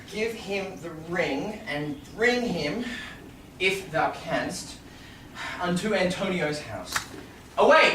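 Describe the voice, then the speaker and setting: A man playing an earnest, grateful young gentleman in a Shakespearean stage reading. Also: high-pitched. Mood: decisive. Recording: distant echoey small theatre, background noise.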